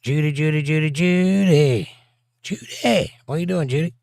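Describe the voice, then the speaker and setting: A slightly hoarse, scratchy-voiced man hosts a laid-back livestream, reading chat messages aloud and riffing on them with goofy asides. His voice is dry and close, with no room around it. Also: fairly high pitch, moderate pace, a little mellow, dry recording. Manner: jokey, reads viewer comments aloud, breaks into silly bits.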